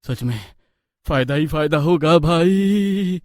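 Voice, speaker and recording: Man voicing a greedy, scheming cartoon food seller, higher-pitched. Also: takes it slowly, darkish tone, no echo, studio quality. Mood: gleeful scheming. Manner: exclaiming, theatrical, talks to himself. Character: greedy, scheming male biryani seller, wheedling and defensive. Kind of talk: animated Hindi cartoon moral story acting.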